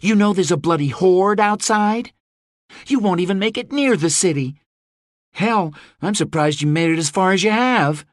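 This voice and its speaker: A woman's voice: fairly low pitch, moderate pace, no echo, clean studio sound, voice pushed up, lightly acted. A male narrator voicing a fierce young woman who leads mercenaries under siege, blunt and swearing freely. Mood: incredulous, pleading. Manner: sharp-tongued, swearing, blunt.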